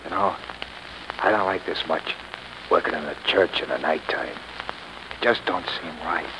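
A man's voice: low-pitched, a little slow. An actor playing an uneasy, superstitious working-class repairman, talking colloquially in a 1930s radio drama. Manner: colloquial, nervous. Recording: noisy vintage 1930s radio recording.

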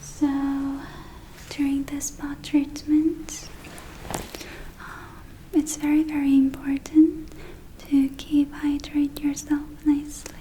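A woman playing a gentle, caring witch in a whispered ASMR roleplay, speaking softly and soothingly with hesitant phrasing. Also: sits high, level tone, slow, a little hiss or hum, low-quality recording. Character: gentle caring witch running a magic spa. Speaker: ASMR performer.

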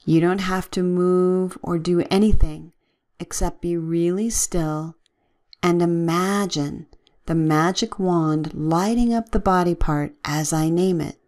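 A woman leading a guided relaxation with gentle, soothing, measured instructions and long pauses. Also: lowish voice, steady tone.

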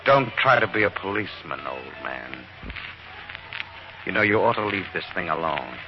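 An actor playing a charming, cynical man whose breezy charm turns quietly menacing, in a 1950s radio drama. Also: sits low, animated, normal speed. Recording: muffled old radio broadcast with music.

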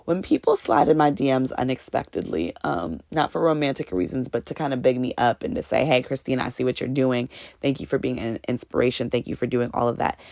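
A woman's voice: very deep voice, gravelly, no room sound, studio quality. A woman in her late twenties hosting a solo motivational podcast, talking straight to her listeners as family in an upbeat, preachy way. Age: 20s. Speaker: podcast host and business consultant.

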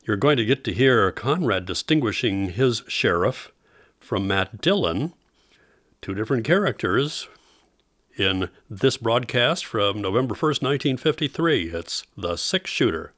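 Low-voiced man hosting a radio program. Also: animated, measured pace, fairly bright tone, dry recording, studio quality. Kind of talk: scripted narration introducing vintage radio. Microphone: close studio mic.

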